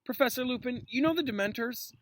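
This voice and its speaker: A man's voice: very high voice, fast, noisy background, pitched above the performer's own voice. A man voicing an earnest, impulsive young boy wizard in a storybook, hesitant and then blurting things out. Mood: curious, hesitant.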